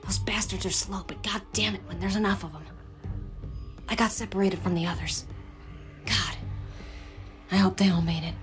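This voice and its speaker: An actress playing a friendly, tough and easygoing survivor with a wry, folksy manner in a video game. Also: female voice, medium pitch, fairly even intonation, moderate pace, long pauses, lightly acted. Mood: rueful. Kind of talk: naturalistic video game acting. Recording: background music under the voice.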